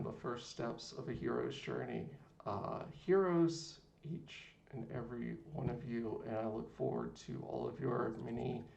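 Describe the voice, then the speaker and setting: A man's voice: mid-range voice, gravelly, muffled recording. A man hosting an online interview, speaking enthusiastically with frequent filler uhs. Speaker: podcast host. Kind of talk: wrapping up an interview. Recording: echoey room.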